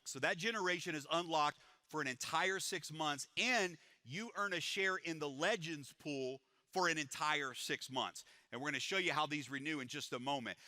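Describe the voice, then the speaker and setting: Guy pitching a comp plan on stage, fairly high bright voice, dry close mic, keeps saying it's powerful. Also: no echo. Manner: energetic salesman pitch, repeats "it's powerful". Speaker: presenter at a business event. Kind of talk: live stage presentation.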